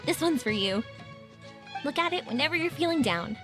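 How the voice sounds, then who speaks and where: A woman voicing a cheerful, warm-hearted young woman in a game, bubbly, earnest and encouraging. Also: high-pitched, animated, moderate pace, over music. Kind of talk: English game dub character acting.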